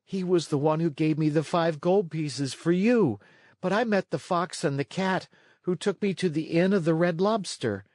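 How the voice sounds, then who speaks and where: A man's voice: on the high side, normal speed, a little mellow, no echo, studio quality, natural voice. A man voicing an eager, earnest and plucky wooden puppet boy in a storybook read-along. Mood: breathless, rueful.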